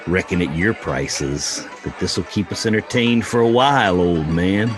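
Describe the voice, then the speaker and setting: A man voicing a huge, cold-blooded western outlaw with a deep, resonant voice and quiet menace, in an audiobook story. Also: a little slow. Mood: calm menace.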